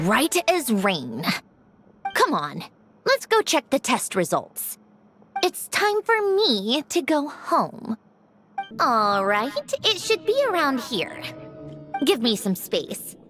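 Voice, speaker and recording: A woman voicing a proud, wry young woman in a video game, brusque and matter-of-fact but quietly sentimental. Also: a little high, wildly swooping pitch, takes it slowly, heavily acted. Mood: brisk. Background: music.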